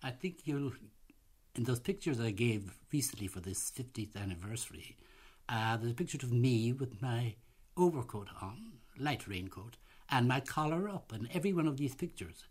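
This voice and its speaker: A man's voice: mid-range voice, lively intonation, normal speed, a little mellow, slightly rough. An older man, a veteran producer recalling old studio days in a rambling, anecdotal way. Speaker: theatre and radio producer interviewee. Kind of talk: interview reminiscence.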